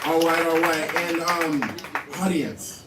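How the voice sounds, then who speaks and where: High voiced dude hosting a poetry slam, hyping the crowd, roomy echo, mic kinda far, noisy. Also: male voice, flat delivery, slow pace. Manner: loud, hype, casual crowd-pumping. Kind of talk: live event emceeing. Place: live poetry venue, indoors. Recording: roomy, distant live venue sound with noise.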